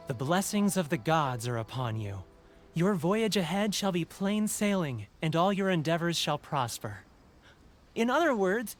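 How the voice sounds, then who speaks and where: An actor playing a jovial, deferential fortune-stall keeper in a video game, effusive and obliging. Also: male voice, high-pitched. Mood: congratulatory. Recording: music under the voice.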